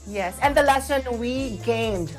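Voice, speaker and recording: A woman's voice: a little high, animated, measured pace, faint music behind. A woman with a Filipino accent co-hosting an online show with bubbly greetings and casual chat. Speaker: online radio show co-host.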